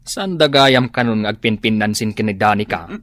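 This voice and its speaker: An actress with an Ilocano accent playing a cautioning village busybody in a comic radio drama, exclamatory and admonishing. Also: female voice, a bass voice, normal speed. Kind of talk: comedic radio drama acting.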